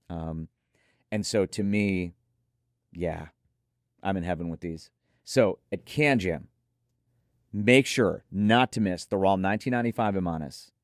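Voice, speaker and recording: Male review host, lower register, emphatic but conversational delivery, close dry sound. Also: no echo.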